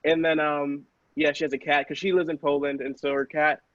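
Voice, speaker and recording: A man's voice: a little high, level tone, a little fast, fairly bright tone, no room sound. A man in a casual podcast chat, rambling along and peppering his talk with "like". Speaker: podcast cast member. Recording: slightly muffled, lo-fi audio.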